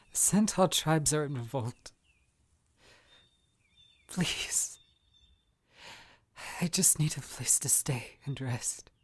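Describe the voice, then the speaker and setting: A man acting as a wounded, exhausted centaur envoy in a fantasy audio drama, formal and respectful, speaking haltingly with strain. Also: mid-range voice, breathy edge. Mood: urgent, pained.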